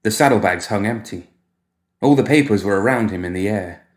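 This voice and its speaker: A man's voice: on the low side, a little fast, echoey room. A man reading fiction aloud as a scripted narrator. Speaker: story reader.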